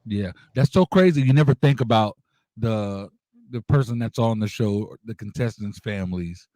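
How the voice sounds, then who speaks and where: A man's voice: fairly low pitch, normal speed, mellow, no echo, rough recording. A man hosting a casual interview, talking in an informal, slangy and encouraging way. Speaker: radio show host interviewing a guest.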